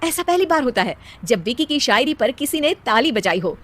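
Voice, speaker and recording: A woman's voice: sits high, sing-song, normal speed. A woman narrating a scripted children's story.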